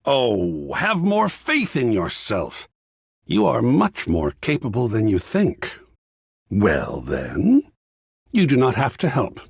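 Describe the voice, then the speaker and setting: A man voicing a whimsical, effusive video game character who is art itself, raspy and gravelly, speaking in a grandiose, florid, theatrical way. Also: medium pitch, huge pitch swings, takes it slowly, no echo, studio quality, heavily acted. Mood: earnest, confiding. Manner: grandiose, florid, savoring words with dramatic swoops. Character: flamboyant, whimsical living embodiment of art, effusive and philosophical.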